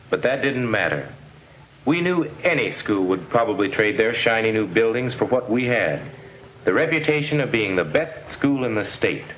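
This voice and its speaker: A young man playing an earnest high school student who narrates his memories in a 1950s educational film. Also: fairly bright tone. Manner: earnest, folksy, reminiscing. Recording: dull, noisy 1950s film soundtrack.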